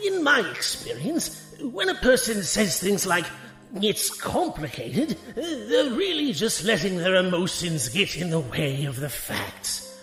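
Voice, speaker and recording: A man's voice: sits high, big pitch swings, slow, a bit bright, exaggerated voice. A man playing a jovial, folksy old professor in an audio drama, teasing and avuncular. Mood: jovial, persuasive. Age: older. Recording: roomy, echoing sound with faint music.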